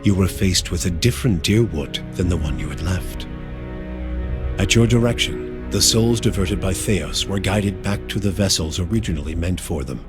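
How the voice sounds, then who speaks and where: An actor playing a grave storyteller, narrating a fantasy game's ending in a measured, dramatic, literary manner. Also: male voice, deep voice, close to an everyday voice. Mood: solemn. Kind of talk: scripted narration of a game ending. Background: loud music.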